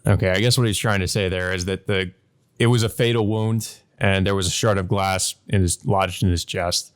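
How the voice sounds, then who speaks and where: A man's voice: low-pitched, steady tone, normal speed, clear, resonant tone. A man narrating a visual novel as a let's play host, reading aloud and adding hesitant commentary of his own.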